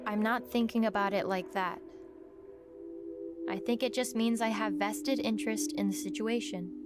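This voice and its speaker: A woman playing an earnest, apologetic journalist with a halting manner in a naturalistic audio drama. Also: medium pitch, fairly even intonation, loud music behind the voice, natural voice. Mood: earnest, determined.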